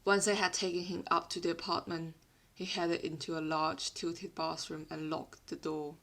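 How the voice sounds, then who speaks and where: A woman who is not a native English speaker, with a British-influenced accent, reads fiction aloud in an expressive, literary storytelling manner. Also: fairly low pitch, moderate pace. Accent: British-influenced non-native English. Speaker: podfic reader. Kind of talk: dramatic scripted fiction narration.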